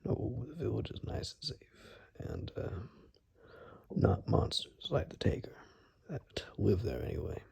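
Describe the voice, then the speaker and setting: A man playing a gruff, protective forest dweller in an ASMR roleplay, speaking softly and huskily in a hesitant murmur, often whispering. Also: lowish voice, huge pitch swings, a little slow, full character voice. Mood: cautious, warning. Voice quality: soft, breathy, husky, often whispered.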